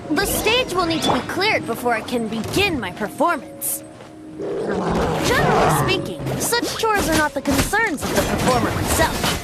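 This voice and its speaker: A woman's voice: high-pitched, very animated, moderate pace. A woman playing a youthful, playful male bard god in a video game, with theatrical, coy and flowery delivery. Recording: music under the voice.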